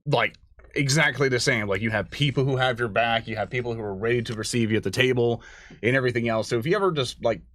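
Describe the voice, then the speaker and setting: A man's voice: medium pitch, fast, fairly bright tone. A man from Louisiana hosting a casual chat in a rambling, informal way. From Louisiana, USA. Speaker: host.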